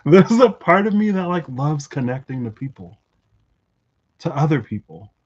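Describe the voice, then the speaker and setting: A man thinking out loud in a rambling, reflective monologue for his tarot channel, full of fillers and restarts. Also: a little high, sing-song, normal speed, husky, highs cut off. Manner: musing, lots of fillers and restarts. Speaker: tarot reader vlogger.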